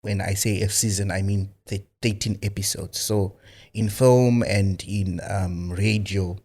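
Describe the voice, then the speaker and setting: A man from Zimbabwe with a very low, deep voice talks about his work in a studio interview. He speaks casually, hesitating often, circling back with "um" and repeated words as he finds his way. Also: fairly even intonation, unhurried, a little mellow. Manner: hesitant, many fillers and restarts. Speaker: guest filmmaker.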